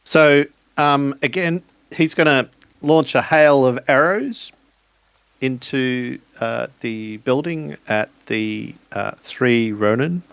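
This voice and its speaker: Unhurried man narrating game moves. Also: medium pitch, gravelly, fairly bright tone, clean tone, dry recording. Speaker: player in a tabletop roleplaying game. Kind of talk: narrating game actions casually.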